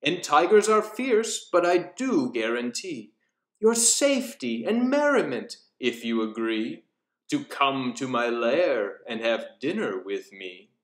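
Man as a genteel storybook tiger, courteous, coaxing, unhurried, echoey room. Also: mid-range voice, sing-song. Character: suave, courteous male tiger inviting a child to dinner. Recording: roomy echo.

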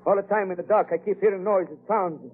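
A man's voice: high voice, steady tone, fast, slightly rough. An actor playing a nervous, frightened henchman who speaks broken simple English in a 1930s radio crime drama. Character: jumpy, superstitious male henchman, scared of the dark. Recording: muffled scratchy 1930s radio recording.

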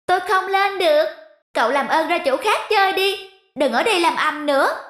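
A woman's voice: very high-pitched, animated, moderate pace, fairly bright tone, reverberant. A woman voicing a grumpy, irritable goldfish who snaps and scolds. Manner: snappish, scolding. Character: grumpy irritable goldfish stuck under ice. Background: music.

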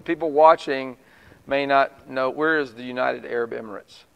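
A man in his fifties hosting a church television program, speaking in an earnest, measured way with preacherly emphasis. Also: mid-range voice, steady tone, gravelly, a bit bright, clean tone, big-room sound, rough recording. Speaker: host. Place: small studio set with plants.

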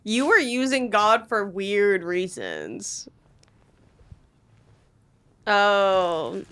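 A young American woman bantering casually as a comedic podcast co-host, teasing, blunt and quick to swear. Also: medium pitch, lively intonation, fairly bright tone, clear, resonant tone, no echo. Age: young adult. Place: living room indoors, by a fireplace. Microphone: handheld microphone held close.

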